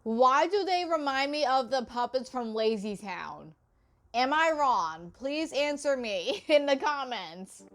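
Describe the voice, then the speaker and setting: Woman, high-pitched, very lively intonation, casual reaction commentary, exclamatory. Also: slow. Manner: informal, exclamatory, apologetic asides. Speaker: reaction video host.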